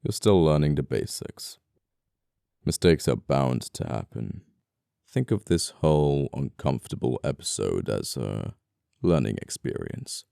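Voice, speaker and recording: Casting: male actor for scoundrel murderer in ASMR roleplay, very deep and smooth, politely menacing, apologetic, flirtatious, quaint wording. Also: big pitch swings, a little slow, no room sound, clean studio sound, exaggerated voice. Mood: calm, condescending. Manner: soft-spoken politeness, condescending, old-fashioned phrasing. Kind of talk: intimate ASMR audio roleplay acting.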